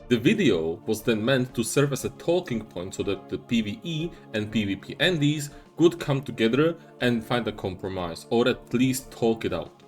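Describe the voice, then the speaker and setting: Young man, measured and earnest, faint music underneath. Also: medium pitch. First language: Czech. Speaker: YouTuber. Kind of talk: scripted commentary.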